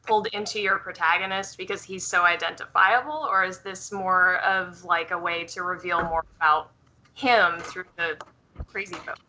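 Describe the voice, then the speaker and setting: A woman co-hosting a casual interview, asking analytical questions in halting phrases. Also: on the low side, fairly even intonation, bright, forceful tone, a little hiss or hum, low-quality recording. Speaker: interviewer, co-host.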